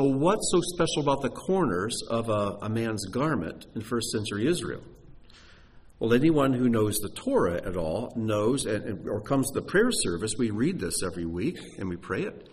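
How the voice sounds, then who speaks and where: A man preaching and teaching, animated and emphatic, often dropping to a hushed voice. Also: medium pitch, moderate pace. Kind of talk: sermon.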